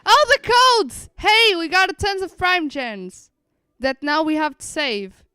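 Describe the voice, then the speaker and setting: A woman's voice: sits high, very animated, unhurried, bright, forceful tone, no echo. A woman streamer chatting casually and playfully to her live audience as she plays a game. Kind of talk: casual live-stream chat while gaming. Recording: dry close mic, faint music behind.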